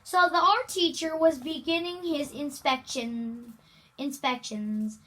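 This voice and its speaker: A woman's voice: high voice, takes it slowly, a bit bright, poor audio. A female amateur reader reads a children's novel aloud with casual asides, stumbling over words and repeating them. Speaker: amateur reader hosting a read-aloud video.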